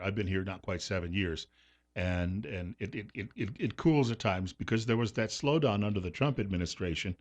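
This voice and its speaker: Male talk radio host, low voice, casual chatty delivery, lots of "uh"s. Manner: conversational, loose, with frequent fillers.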